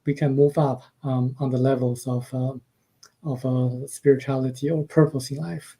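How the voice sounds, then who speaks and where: Soft-spoken Chinese-accented man, very mellow. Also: medium pitch, flat delivery, moderate pace, slightly rough. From China. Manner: hesitant, many uh fillers, soft-spoken. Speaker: guest author and translator. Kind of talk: radio interview.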